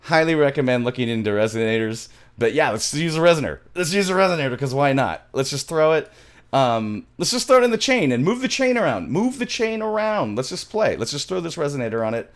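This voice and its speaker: A man's voice: medium pitch, lively intonation, quick pace. A middle-aged man hosting a music production tutorial in a casual, rambling way, often repeating his phrases. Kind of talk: casual screen-share tutorial talk. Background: music from the software.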